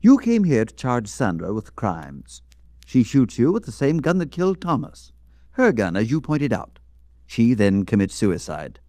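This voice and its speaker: An actor playing a suave, calculating husband turned killer in a 1940s radio drama, with polished, sardonic, formal speech. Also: male voice, medium pitch, wildly swooping pitch, unhurried, mellow, no room sound, studio quality. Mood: cold menace. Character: suave, calculating murderous husband, coolly menacing.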